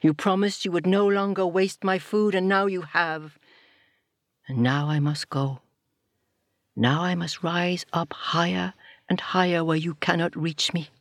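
A woman voicing the sky as a spirit, speaking slowly, low and grave. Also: fairly even intonation, no room sound, clean studio sound. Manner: slow, grave, low delivery. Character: sorrowful, angry sky spirit, solemn.

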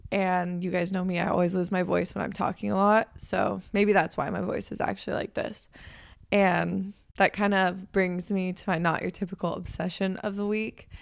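A hoarse young woman in her twenties hosting a podcast in a chatty, upbeat way, her voice raspy from just waking up. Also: medium pitch, flat delivery, normal speed. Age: 20s. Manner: chatty and upbeat, with frequent fillers. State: hoarse from waking up and a weekend of talking. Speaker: podcast host and personal trainer. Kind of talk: casual chat.

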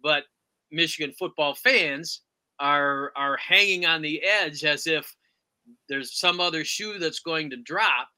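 Very bright, halting American male interviewer. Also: fairly high pitch, clean tone. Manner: halting, deliberate, frequent long hesitations. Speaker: interviewer, sports journalist. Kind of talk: conversational sports interview.

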